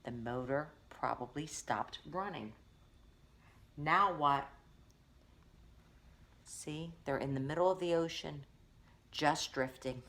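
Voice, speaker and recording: A woman's voice: sits low, slow pace, long pauses, a bit bright. A woman teacher reading a picture book aloud, stopping to explain things to young children.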